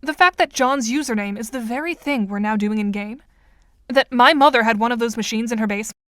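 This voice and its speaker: A woman playing a composed, articulate and skeptical teenage girl in an audio drama, dry and measured. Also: fairly high pitch, background noise, lightly acted. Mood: questioning.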